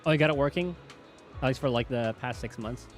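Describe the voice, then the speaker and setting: A man's voice: mid-range voice, a little fast. Man casually streaming a game over music. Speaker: streamer playing a video game. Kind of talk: casual game commentary, reading dialogue aloud.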